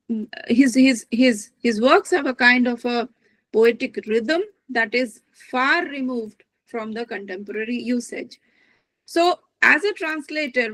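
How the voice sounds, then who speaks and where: Woman lecturing on translation, slow and careful, kinda high voice, dry sound. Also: a bit bright, no room sound, poor audio. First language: not Dutch. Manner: measured, thoughtful, searching for words. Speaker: guest speaker, literary translator. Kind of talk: academic lecture.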